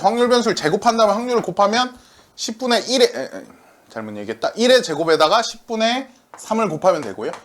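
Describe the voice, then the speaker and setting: Male math teacher, high and sing-song, talks his sums out loud, faint hiss behind. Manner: lively, asks questions and answers them himself; talks through arithmetic aloud. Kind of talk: classroom math lecture.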